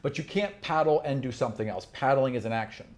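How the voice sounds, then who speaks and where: A man's voice: mid-range voice, quick pace. A man improvising narration as game master of a tabletop fantasy game, explaining things in a conversational way. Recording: roomy echo, faint background noise.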